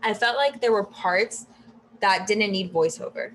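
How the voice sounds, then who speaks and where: A young woman hosting a casual podcast chat, animated and chatty, swearing now and then and acting out quotes. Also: medium pitch, unhurried, a little hiss or hum. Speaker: podcast host.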